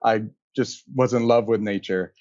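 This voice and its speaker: A man in his thirties from Singapore, answering interview questions in a calm, measured way. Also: lowish voice, no echo, muffled recording. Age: 30s. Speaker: guest, conservation director.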